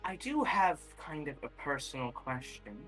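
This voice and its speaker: A roleplay player speaking in character, measured and cryptic, often trailing off and changing the subject. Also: medium pitch, big pitch swings, a bit bright, soft background music, rough recording. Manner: measured, cryptic, keeps saying anyways.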